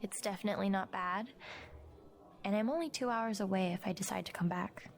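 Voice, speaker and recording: A woman's voice: medium pitch, brisk, natural voice. An actress playing a composed, stubborn young woman journalist in naturalistic audio drama dialogue. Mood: composed, guarded.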